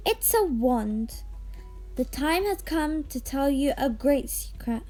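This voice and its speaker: An eccentric fairy godmother from a storybook, acted by a female reader. She is breezy and fondly bossy, speaking high and taking her time over music. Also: animated, dark tone, rough recording. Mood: brisk, kindly. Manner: airy, brisk, fondly bossy. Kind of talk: storybook character voice.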